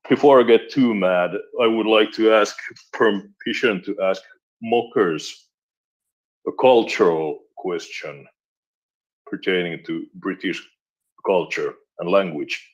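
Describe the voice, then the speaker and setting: A man chatting casually on a live audio space, asking relaxed, curious questions. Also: low voice, slow, pauses often, lo-fi sound.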